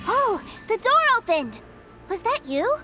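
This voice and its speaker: Very high-pitched, eager little boy. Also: a little slow, full character voice. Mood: cheerful, innocent. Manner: childish, excitable, wheedling. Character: eager, innocent, cheerful little boy, adoring younger brother. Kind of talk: video game character dialogue. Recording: game music behind the voice.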